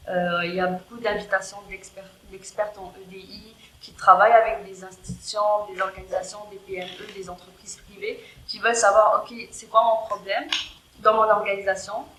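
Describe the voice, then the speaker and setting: A woman's voice: medium pitch, little pitch movement, moderate pace, a bit bright. A woman who is not a native French speaker, talking casually with many fillers and restarts in an interview. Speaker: guest, EDI worker in tech. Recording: roomy with faint background noise.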